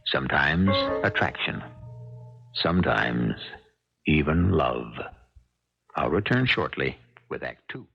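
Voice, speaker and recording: A man narrates a vintage radio mystery in a portentous, measured style. His deep, creaky voice rises and falls dramatically. The recording is dull, with music playing behind him. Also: big pitch swings.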